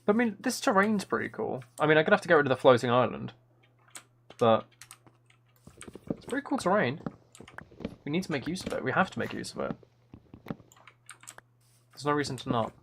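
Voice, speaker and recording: A man's voice: on the high side, very animated, brisk, long pauses, a bit bright. A man chatting casually on a gameplay livestream, thinking aloud and rambling enthusiastically through ideas. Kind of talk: casual gameplay stream chat. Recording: clear background noise with keyboard clicking.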